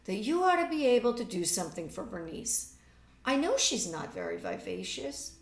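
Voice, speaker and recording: A middle-aged society mother, read by a woman, weary and disapproving in a storybook tale. The voice is creaky and dismissive, heard a little way from the mic in a roomy space. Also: medium pitch, very animated, moderate pace. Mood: weary, reproachful. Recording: roomy, distant webcam microphone.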